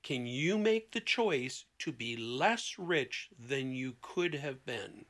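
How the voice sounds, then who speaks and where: A man speaking conversationally as a therapist on a podcast panel, blunt and emphatic, with deliberate pauses and rhetorical questions. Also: mid-range voice, expressive, a bit bright. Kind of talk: conversational podcast talk with acted-out client dialogue.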